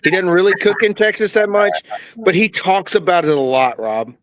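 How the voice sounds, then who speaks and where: A man with a somewhat high voice teases his friends and laughs easily on a video call. His tone is light and playful. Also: normal speed, fairly bright tone. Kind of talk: casual livestream chat.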